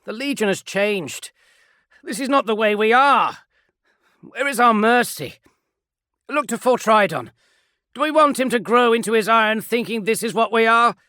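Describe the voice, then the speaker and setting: A man's voice: very high voice, moderate pace, long pauses, pushed and bright, clean tone, no echo, clean studio sound, pitched above the performer's own voice. A man voicing a principled young space marine who argues with impassioned, pleading defiance.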